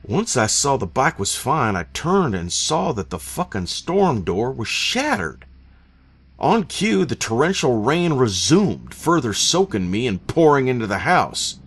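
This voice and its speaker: A man reading a first-person horror story in a deliberate, theatrical way with dramatic pauses. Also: medium pitch, sing-song, slightly rough. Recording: narration over background music. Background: eerie background music.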